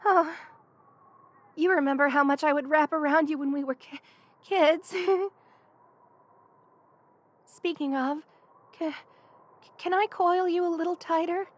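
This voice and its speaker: High-voiced, affectionate young snake girl played by a woman. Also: steady tone, a little slow, pauses often. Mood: cosy, grateful. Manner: hesitant, soft-hearted, stammering as if shivering. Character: young female lamia, shy affectionate childhood best friend. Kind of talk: intimate ASMR roleplay.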